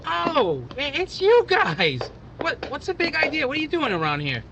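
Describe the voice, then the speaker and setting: An actor playing a jumpy small-time crook who stammers, in 1940s radio acting style. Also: male voice, huge pitch swings, moderate pace, gravelly, dull audio, very noisy, full character voice. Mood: flustered. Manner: stammering, high and squeaky.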